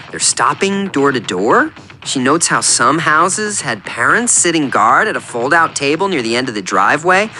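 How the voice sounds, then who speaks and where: An earnest, lonely male oddball overshares in rambling detail, played by a man for a radio comedy. His mid-pitched voice is bright and forceful, swinging widely in pitch, with music behind it. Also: heavily acted. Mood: wistful. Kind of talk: scripted radio comedy monologue.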